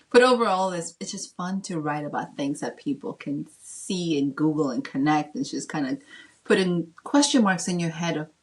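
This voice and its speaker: A woman from the Dominican Republic and Massachusetts chatting casually as a guest author, with an animated storytelling manner. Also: fairly low pitch. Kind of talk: casual interview chat.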